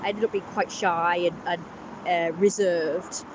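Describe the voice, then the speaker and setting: A woman vlogging casually with a blocked-up, congested voice. She talks in a halting, rambling way, full of restarts and fillers. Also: lowish voice, fairly even intonation, lo-fi sound, noisy background. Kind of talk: casual personal vlog talk.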